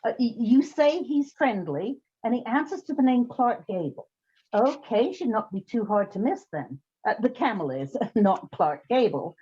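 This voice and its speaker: A wry, businesslike agency boss, played by a woman in an old-time radio comedy, with a husky, mellow voice that moves briskly up and down. The sound is slightly muffled. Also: fairly high pitch, big pitch swings.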